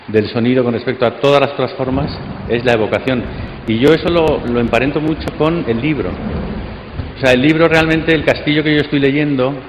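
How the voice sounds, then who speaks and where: Man commenting in echoey hall. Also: mid-range voice. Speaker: audience participant. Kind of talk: spontaneous discussion comment. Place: conference hall. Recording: echoey hall, faint noise.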